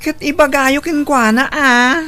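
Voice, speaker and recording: A woman's voice: sits high, steady tone, a little slow, bright, forceful tone. A woman with an Ilocano accent playing an outspoken, inquisitive young daughter in a radio drama. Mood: puzzled, forthright.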